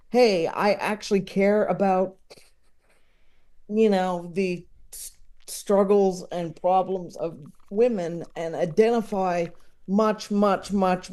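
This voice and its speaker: Thoughtful interview guest, slow and searching delivery, soft mellow tone, casual and reflective, often doubling back on words. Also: mid-range voice. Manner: halting, thoughtful, repeats words while searching for phrasing. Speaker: podcast guest, comedy enthusiast.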